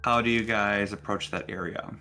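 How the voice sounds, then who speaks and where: A man narrating a tabletop game casually as game master, halting and thinking aloud as he goes. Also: on the low side, fairly even intonation, pushed and bright, soft background music.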